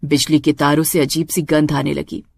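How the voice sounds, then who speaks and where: A woman's voice: low voice, little pitch movement, moderate pace, vocal fry, a little mellow, no echo, clean studio sound. A woman storyteller reading a scripted tale in a dramatic narrating style.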